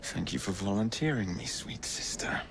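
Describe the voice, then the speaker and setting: A man's voice: on the low side, animated, moderate pace, creaky, background noise. An actor playing a brash, hot-tempered young English man with a sardonic, flippant manner in a video game cutscene. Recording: music behind the voice.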